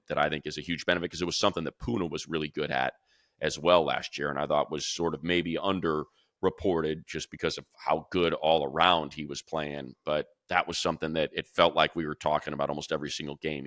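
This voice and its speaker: Man, low voice, dry close sound, excitable, animated, sports podcast co-host. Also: no echo. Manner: animated, excitable, rapid runs of analysis. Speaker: radio play-by-play announcer and co-host. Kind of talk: casual sports chat.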